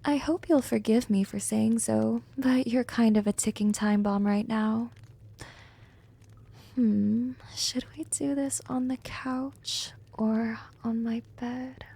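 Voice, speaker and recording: Casting: actress as gentle, teasing female vampire for ASMR roleplay; soothing, breathy, slightly high voice with some creak. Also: steady tone, full character voice. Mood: calm, inviting. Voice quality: soft, breathy, often whispering. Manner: slow, soothing, coaxing.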